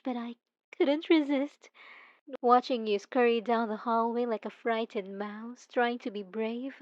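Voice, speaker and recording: A woman's voice: a little high, no echo, highs cut off, close to an everyday voice. A woman voicing a possessive, obsessive female stalker in an intimate ASMR roleplay, coy and mocking, cooing with teasing menace. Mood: teasing, coaxing.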